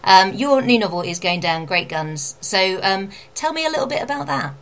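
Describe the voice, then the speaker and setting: A woman's voice: medium pitch, lively intonation, moderate pace, fairly bright tone. A woman interviewing in a casual, chatty way, informal and encouraging with her guest.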